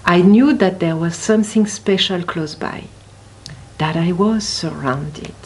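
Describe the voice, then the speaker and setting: A woman reading a children's story aloud expressively, with deliberate, dramatic emphasis on key words. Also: on the low side, a little hiss or hum. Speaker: picture book reader at a library.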